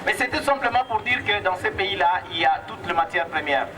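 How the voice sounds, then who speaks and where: A man's voice: high voice, expressive, brisk. A man from the Democratic Republic of the Congo with a Congolese accent, giving an emphatic, impassioned protest speech that repeats phrases for effect. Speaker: activist speaker at a protest. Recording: distant mic, noisy outdoor street sound.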